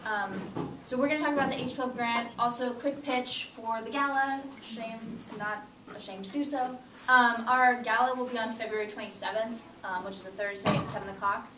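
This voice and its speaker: A young woman in her twenties presents to classmates in a lecture room with a chatty, informal, upbeat manner. Her voice sounds roomy and far from the mic, with room noise clearly underneath. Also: fairly high pitch, fairly even intonation, fairly quick, few pauses. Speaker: student group co-president. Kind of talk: casual presentation. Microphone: far camera.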